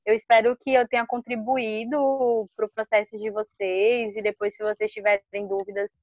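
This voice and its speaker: A woman's voice: medium pitch, flat delivery, moderate pace, no room sound. A Brazilian woman speaking casually as a guest in an online discussion, on a dull lo-fi call recording. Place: video call.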